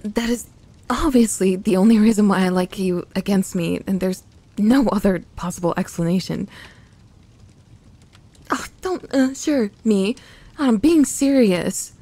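A woman playing a young tsundere tomboy best friend in an intimate audio roleplay, grumbling and flustered but secretly affectionate, in a soft voice that often turns to whispering. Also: medium pitch, measured pace, pauses often, no room sound, lightly acted. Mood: defensive. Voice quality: soft, breathy.

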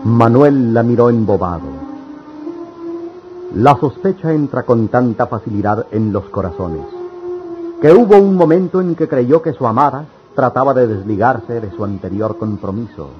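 A man's voice: mid-range voice, expressive, moderate pace, over music. A man narrating a scripted radio serial in a literary, declamatory manner. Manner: declamatory, dramatic. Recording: dull lo-fi radio sound.

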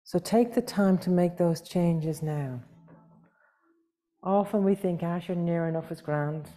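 A woman leading a guided meditation as a yoga teacher, speaking gently and soothingly with long pauses, unhurried. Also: lowish voice, soft background music.

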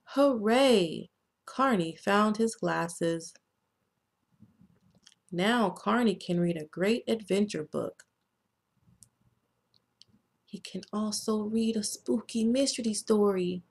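Female storybook narrator, American, unhurried and expressive read-aloud, mid-range voice with gravelly creak. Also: mid-range voice. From United States. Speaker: children's author reading own book. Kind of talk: scripted storybook narration for children.

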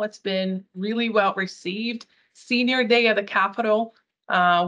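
A woman's voice: medium pitch, a bit bright. Portuguese-native woman, 30s, earnest outreach coordinator on a video call. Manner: earnest, upbeat, some restarts. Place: small room.

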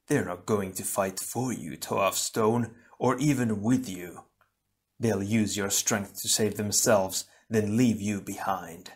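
A man voicing a cold, mocking shadow double, a villain in an adventure story, taunting slowly and without mirth. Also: fairly low pitch, fairly even intonation, slightly rough.

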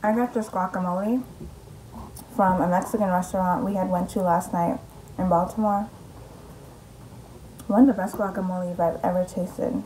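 A woman's voice: on the low side, fairly even intonation, normal speed, pauses often, dark tone, slight background noise, low-quality recording. A young woman vlogging from her bedroom, chatting casually and upbeat with lots of ums while speaking close to a camera. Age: young adult. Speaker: mom vlogger. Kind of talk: casual vlog chat. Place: bedroom at home, indoors. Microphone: camera in front at close range.

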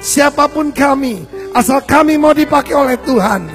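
A man's voice: extremely high pitch, moderate pace. A male pastor leading a fervent prayer, half-singing his words. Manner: fervent, half-sung praying. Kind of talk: closing prayer and exhortation. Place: church service. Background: faint worship music.

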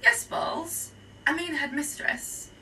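A woman puts on a pompous schoolmaster from a children's storybook. He bellows and splutters in wild high swoops. He is easily enraged and keeps repeating himself as he comes apart. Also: sing-song, extremely slow, pushed and bright, distant mic, slight background noise, full character voice, rough recording. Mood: flustered, apologetic.

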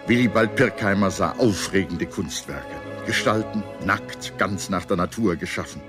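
A man reading scripted historical documentary narration in a measured, articulate storytelling manner. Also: deep voice. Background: background music.

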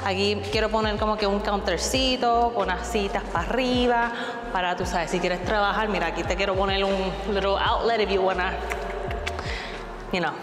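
A woman's voice: medium pitch, fairly even intonation, moderate pace. Bubbly Puerto Rican woman in an echoey empty hall. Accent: Puerto Rican Spanish. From Puerto Rico. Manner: bubbly, mixes English into Spanish. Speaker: vlogger. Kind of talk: casual walkthrough tour. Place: large empty concrete commercial space. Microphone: clip-on lapel mic. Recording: echoey bare room with long reverb, music behind.

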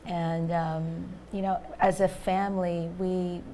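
A woman in her forties, a Korean native speaker, talks in a low, gravelly voice with little rise and fall. She recounts her past in a measured, reflective way on a studio panel. A faint room hum sits behind her. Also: little pitch movement, dark tone. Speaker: panel guest, journalist. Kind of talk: personal story in a panel talk. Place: studio stage with a small audience.

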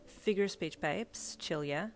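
A woman voicing a brash, snarky young female gamer who swears and gushes excitedly, in a higher voice than her own. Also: moderate pace, faint music behind, natural voice. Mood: flippant.

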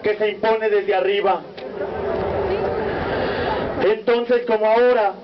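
Young mexican guy reading a protest speech, really high voice, muffled lo-fi sound, faint hiss. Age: young adult. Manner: declamatory, solemn, measured cadence. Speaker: student speaker. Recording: muffled lo-fi recording with faint noise.

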